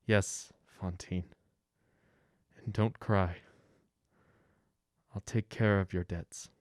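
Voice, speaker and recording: A compassionate town mayor, played by a man, calm and firm as he overrides an inspector in a radio play. His voice is low and steady. The sound is dry and close. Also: slow, dry recording. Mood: gentle. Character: kind, authoritative male town mayor. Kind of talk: radio drama acting.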